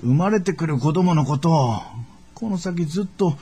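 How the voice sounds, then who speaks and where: An actor playing an exasperated middle-aged father in a radio drama, gruff and flustered, with a Kansai accent. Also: on the high side, expressive, normal speed, warm tone. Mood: flustered, annoyed. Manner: gruff, stammering in disbelief, repeating himself.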